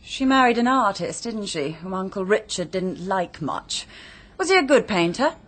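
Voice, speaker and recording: A woman's voice: mid-range voice, very animated, moderate pace, fairly bright tone. An English woman in a British radio drama playing a strong-willed young married woman, forthright and inquisitive. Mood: brisk, inquisitive. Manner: forthright, bossy, questioning.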